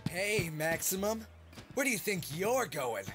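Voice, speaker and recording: A man's voice: high-pitched, sing-song, measured pace, over music. A man playing a taunting, cocky boy bully with a mocking manner in a fantasy audio drama. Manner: mocking, sneering. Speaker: voice actor.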